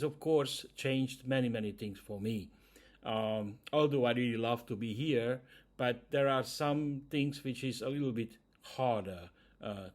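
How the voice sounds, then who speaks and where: A man's voice: medium pitch, a little mellow. A man from Hungary with a Hungarian accent, speaking English as a second language, talking casually to camera as host, with frequent hesitations. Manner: hesitant, many "uh" fillers, non-native grammar. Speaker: YouTube channel host.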